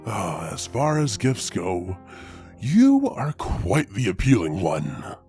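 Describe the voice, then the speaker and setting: A man puts on a commanding vampire lord who savors every word in a slow, sarcastic drawl. The voice sits low and swings widely in pitch, lingering on each phrase. Soft music plays underneath. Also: huge pitch swings, husky, full character voice.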